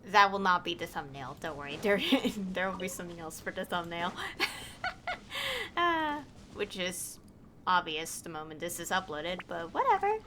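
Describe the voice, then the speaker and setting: Chatty woman streamer with a lively voice. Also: on the low side, normal speed, background noise. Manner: chatty, easily delighted, laughs often. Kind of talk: casual gameplay commentary.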